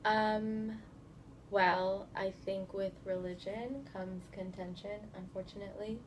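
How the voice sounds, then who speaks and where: A woman from California in her thirties, answering interview questions in a relaxed, chatty way with lots of fillers. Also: medium pitch, slow. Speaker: guest. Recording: noisy indoor recording.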